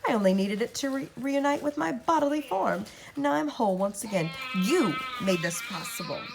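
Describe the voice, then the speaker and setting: A woman voicing a vengeful old spirit woman who mocks and booms with hatred in an audiobook. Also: animated, moderate pace, creaky, background noise. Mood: gloating. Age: older. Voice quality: menacing, booming, mocking. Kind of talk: audiobook character voice.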